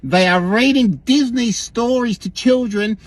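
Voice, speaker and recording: Man putting on a high, halting, anxious Australian police officer. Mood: scandalized reporting. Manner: halting, hesitant, formal radio-report phrasing. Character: earnest, anxious police officer reporting petty threats to commander.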